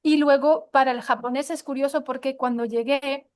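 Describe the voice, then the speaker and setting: A woman with a high voice answers interview questions about teaching pronunciation, in a relaxed conversational way. She hesitates often, filling gaps with "eh" and restarting her sentences as she thinks aloud. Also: normal speed. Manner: hesitant, many fillers and restarts.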